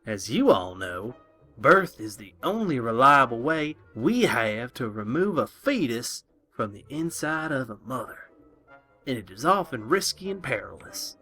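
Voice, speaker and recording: A man's voice: medium pitch, very animated, measured pace, pushed and bright, faint music behind, heavily acted. A man playing an eccentric, pompous town scientist giving a mock lecture in a comic audio drama, theatrical and prone to indignation. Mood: pompous lecturing.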